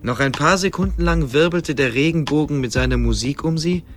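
A man's voice: a little high, fairly quick. A man narrating a radio drama as a scripted, dramatic storyteller. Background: faint music.

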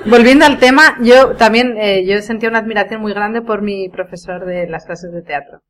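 A woman's voice: mid-range voice, moderate pace. A woman chatting casually with friends as a podcast panelist. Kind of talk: casual group chat.

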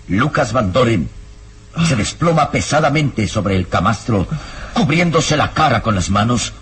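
A man's voice: mid-range voice, expressive, a little slow, a bit bright, a little hiss or hum. A man narrating a radio drama in a dramatic, scripted storytelling style.

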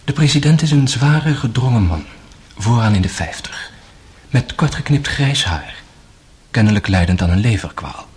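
A man narrating a radio drama as a grave, sorrowful young brother, in measured, literary phrases that sink to a hush. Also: medium pitch, expressive, husky.

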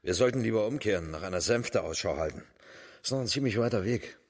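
A man's voice: on the low side, lively intonation, fast. A man playing a bluff, hard-drinking military officer of imperial China in a radio drama, blunt and brash in manner. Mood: guarded.

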